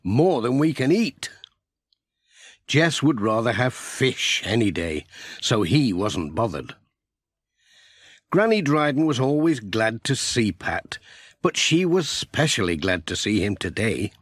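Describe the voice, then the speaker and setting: A man's voice: on the low side, sing-song, unhurried, fairly bright tone, husky, dry recording, studio quality. A man with a British accent narrating a scripted children's story as an audiobook reader.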